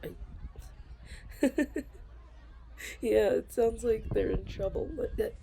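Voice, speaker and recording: A young woman in a soft ASMR role-play, confiding hesitantly as an anxious office worker, sighing and stopping to restart her sentences. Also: mid-range voice, fairly even intonation, gravelly, low-quality recording. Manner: hesitant and self-deprecating, with frequent sighs and halting restarts.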